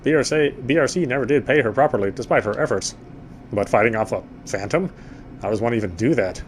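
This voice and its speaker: A guarded young man with a dry wit and a quiet soft spot, voiced by a man at an everyday middle pitch. He muses to himself in a wry, introspective way in this horror game, with a faint low rumble underneath. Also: a little fast, close to an everyday voice. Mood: reflective. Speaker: game protagonist. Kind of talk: first-person inner monologue and dialogue.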